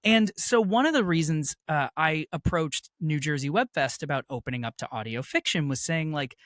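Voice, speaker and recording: A man's voice: fairly high pitch, normal speed, fairly bright tone, no room sound, clean studio sound. An American man, a chatty and emphatic interview guest telling stories in a casual, jokey way. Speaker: interview guest, audio fiction producer. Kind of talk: casual conversational storytelling.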